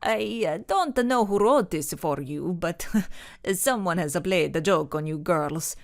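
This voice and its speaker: A woman voicing a kindly, amused Italian man with a thick Italian accent and a halting, chuckling way of talking. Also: on the low side, lively intonation, vocal fry, full character voice.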